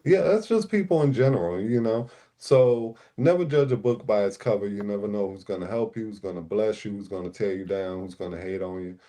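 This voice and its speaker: A man's voice: lowish voice, brisk, hardly any pauses, dark tone. A man born in Puerto Rico and raised in Newark, New Jersey, a panel regular talking in casual livestream chat, laid-back with many "you know" fillers.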